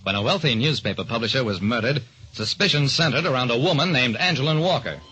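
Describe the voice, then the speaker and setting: A man's voice: mid-range voice, lively intonation, fast talker, bright, forceful tone, slight background noise. An actor, a man, playing a hard-boiled private detective who narrates his case in a clipped, dramatic old-radio style. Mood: brisk, sardonic. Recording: dull old-time radio recording.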